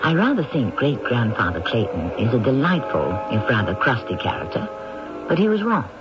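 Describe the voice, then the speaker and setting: Male radio host narrator, measured and wry, music underneath, dull old broadcast. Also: mid-range voice, wildly swooping pitch. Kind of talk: scripted narration.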